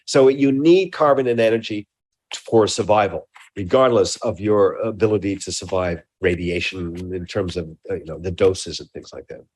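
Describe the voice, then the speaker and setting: A man's voice: low voice, darkish tone. Mature male scientist explaining in an interview. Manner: deliberate, explanatory, with precise scientific terms. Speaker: research scientist interviewed as a guest.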